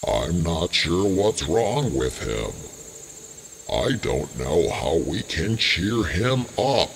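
Gravelly, very deep, slow old tree. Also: male voice, sing-song, full character voice. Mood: gentle, concerned. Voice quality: gravelly, very low. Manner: slow, drawn-out, gentle. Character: huge old talking tree, slow, deep, kindly male. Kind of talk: children's story character acting. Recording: long cavernous reverb, clear noise.

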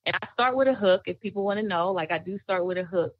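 A woman guest singer, chatting in an interview in a relaxed, storytelling way, laughing often. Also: mid-range voice, fast talker, no room sound, muffled recording. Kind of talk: casual interview chat.

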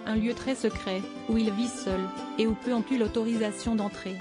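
The monotone, synthetic-sounding woman you would hear reading out gossip articles on an automated slideshow video, music playing along behind her. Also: on the high side, moderate pace. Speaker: narrator of a celebrity news video. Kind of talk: scripted narration. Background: background music.

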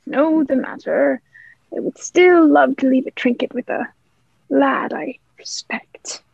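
A woman's voice: sits high, slow, gravelly, a little mellow, dry recording, full character voice. A young woman playing a sly, wheedling pirate in a radio play, speaking in ingratiating old seafaring dialect. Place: indoor room, remote video recording. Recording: muffled home remote recording.

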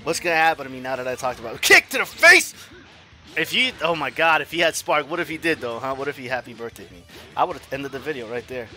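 A man in his forties commenting on his own online fighting game matches, swinging from low mutters to loud yells, laughing and cursing at himself and his opponent. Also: animated, fast talker, faint music behind. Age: 40s. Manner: profane, self-mocking trash talk. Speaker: gaming YouTuber. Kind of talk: live gameplay commentary.

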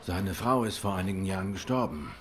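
An actor playing a cool, courteous cat private detective in a noir video game, questioning in a measured, polite way. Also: male voice, low voice, lively intonation, a little hiss or hum. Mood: calm, probing.

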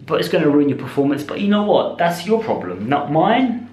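A British man in his twenties talking casually to camera in a rambling, slangy vlog style, speaking in animated bursts. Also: fairly high pitch, brisk. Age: 20s. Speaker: vlogger. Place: small indoor room with bare walls. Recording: echoey, roomy sound.

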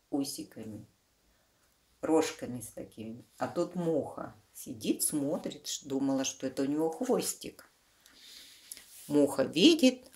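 A woman reading a children's storybook aloud, explaining the pictures simply to young listeners. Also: very deep voice. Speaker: children's book reader.

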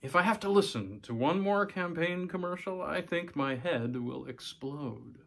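A man's voice: a little high, lively intonation, unhurried, vocal fry, a bit bright, pitched above the performer's own voice, exaggerated voice. A man voicing a self-doubting father in a story, reassuring but uneasy, in an everyday voice. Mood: self-reproachful. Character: Randall, a self-doubting young father, gentle with daughter.

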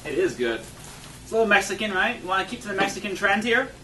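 A man in his thirties with a fairly high voice hosts a cooking show in an upbeat, agreeable way. He is picked up from a distance in an echoey room. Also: big pitch swings, fast, pushed and bright, slight background noise. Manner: upbeat, agreeable, laughs often. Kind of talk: comedic cooking show patter.

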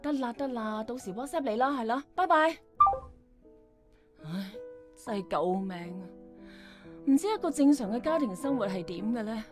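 An actress playing a weary, lonely young doctor with a sighing, brittle manner, in a Hong Kong Cantonese radio drama. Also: female voice, a little high, animated, moderate pace, pauses often, darkish tone, soft background music, lightly acted. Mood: weary impatience. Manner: sighing, impatient, emotional.

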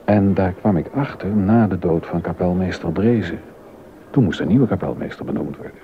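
A low-voiced, aggrieved baroque composer. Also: male voice, normal speed. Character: proud, aggrieved German baroque composer and court organist. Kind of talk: Dutch docudrama dialogue. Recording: dull sound with background noise.

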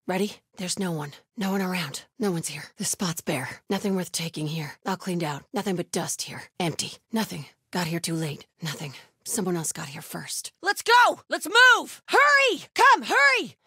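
A woman's voice: lowish voice, very animated, moderate pace, pushed and bright, slightly rough, no room sound, studio quality, full character voice. A woman voicing a fierce cult soldier in a video game, giving terse callouts while hunting enemies. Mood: hushed, watchful, then urgent shouting. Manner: terse, urgent short callouts. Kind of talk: video game combat barks.

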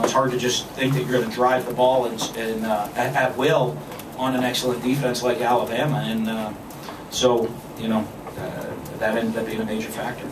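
A man in his forties, a football coach answering postgame questions at a press conference, speaking in a measured way with many fillers and restarts. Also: mid-range voice. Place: press room with backdrop. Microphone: podium mic, filmed from a distance. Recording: echoey room, far from the camera, background noise.

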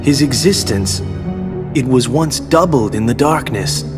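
A blunt, suspicious hooded tracker, voiced by a man speaks in short, flat lines. Loud, tense music fills the game cutscene behind him. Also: medium pitch, unhurried, lightly acted. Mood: curt. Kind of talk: video game cutscene dialogue.

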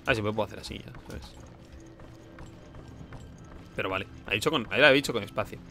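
A man's voice: on the low side, brisk, sharp tone, soft background music. A man with an accent from Spain giving casual, sarcastic gameplay commentary as a streamer. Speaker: video game streamer.

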